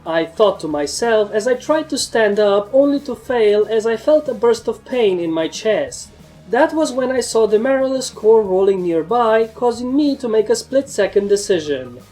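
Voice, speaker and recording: A woman's voice: a little high, expressive, normal speed, mellow, faint music behind. A woman narrator reading a scripted first-person fantasy story.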